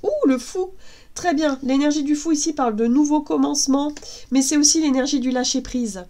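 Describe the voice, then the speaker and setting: A woman with a congested head cold gives a casual tarot reading, gentle and reassuring, hesitating often with fillers and restarts. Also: high-pitched, normal speed. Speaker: tarot card reader.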